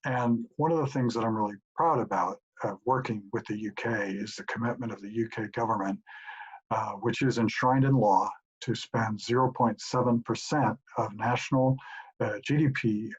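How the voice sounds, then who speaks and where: A middle-aged professor calmly explaining his answers to questions sent in by an audience. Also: mid-range voice, breathy edge. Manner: measured, explanatory. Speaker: professor and institute director. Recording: dull lo-fi home recording.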